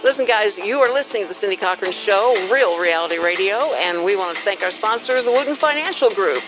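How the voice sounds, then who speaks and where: A woman's voice: medium pitch, animated, quick pace, over music, low-quality recording. A woman with an American accent hosting a chatty radio interview, rambling informally and acting out quotes as she tells stories. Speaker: radio show host.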